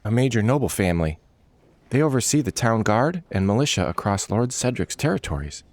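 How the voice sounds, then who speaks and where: A man playing a steady, serious male leader of an adventuring band in a fantasy story. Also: fairly quick, no echo. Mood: serious, guarded. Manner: low-key, steady, serious.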